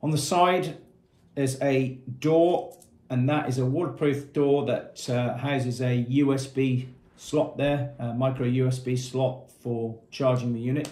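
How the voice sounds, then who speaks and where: A man's voice: mid-range voice, steady tone, unhurried, a little mellow. A man in his fifties giving a plain, methodical product walkthrough in short phrases, as an informal presenter. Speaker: product presenter for a marine equipment retailer. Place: small indoor room with painted block walls, seated at a table. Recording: echoey small room.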